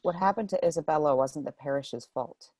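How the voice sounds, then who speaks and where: A woman's voice: sits low, brisk, darkish tone, slightly rough, no echo. A woman playing an insecure, conflicted woman eager for approval in a dramatic script read-through. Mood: pleading.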